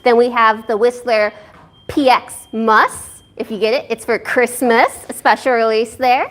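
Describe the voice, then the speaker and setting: A woman's voice: on the high side, fairly even intonation. American woman reading a whiskey lineup. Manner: brisk, reads a list from a clipboard. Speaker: whiskey society co-host. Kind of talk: hosting a live whiskey tasting.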